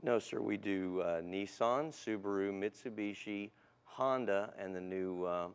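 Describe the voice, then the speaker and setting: A man's voice: fairly low pitch, a little slow, clear, resonant tone. A man speaking as a guest technical specialist, explaining things in a polite, matter-of-fact way in an interview. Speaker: guest car tuning specialist. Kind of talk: plain product explanation in an interview.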